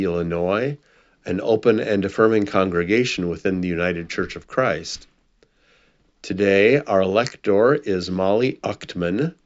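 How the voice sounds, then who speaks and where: Low-voiced American male pastor, slightly dull recording. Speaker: pastor, preacher and host. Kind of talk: informal sermon introduction and prayer.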